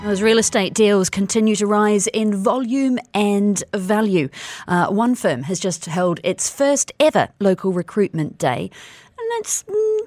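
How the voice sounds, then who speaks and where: A woman's voice: mid-range voice, expressive, measured pace, darkish tone, faint music behind. A woman presenting a radio business report and interview in a conversational news style.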